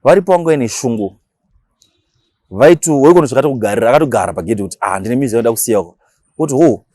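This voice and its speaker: A Shona-speaking man with a Zimbabwean accent, talking casually as an interview guest and explaining things in animated, slangy language with acted-out examples. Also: mid-range voice, racing, no echo. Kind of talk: casual interview talk mixing Shona and English.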